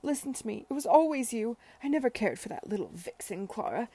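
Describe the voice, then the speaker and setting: A woman voicing a drunk, desperate, hot-tempered young gentleman with wailing, plaintive outbursts in a period romance audiobook. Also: on the high side, big pitch swings, a little fast, husky, dry recording, heavily acted. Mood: desperate pleading.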